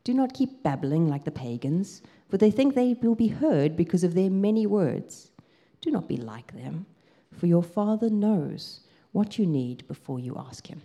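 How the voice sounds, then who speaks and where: South african woman preaching, lowish mellow voice, chatty and lively, lots of ums. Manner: lively storytelling, gets excited, lots of ums. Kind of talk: sermon with a personal story.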